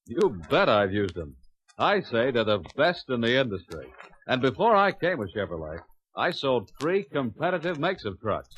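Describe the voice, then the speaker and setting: A man's voice: medium pitch, sing-song, moderate pace, a bit bright. A man playing a confident, plain-spoken American truck salesman, giving a persuasive, folksy scripted testimonial in a 1950s training filmstrip. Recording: muffled, noisy 1951 filmstrip soundtrack.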